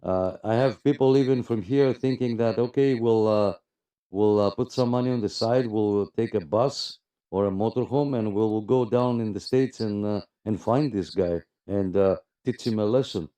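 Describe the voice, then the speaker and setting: A man hosting a casual radio club chat, talking in a halting, repetitive way. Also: lowish voice, dark tone.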